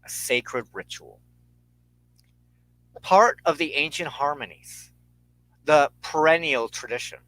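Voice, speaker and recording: Slow, solemn man with a bright, forceful voice. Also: fairly high pitch, low-quality recording. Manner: measured, ceremonious, formal phrasing. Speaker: host of a weekly spiritual talk show. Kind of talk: solemn sermon-like spiritual teaching.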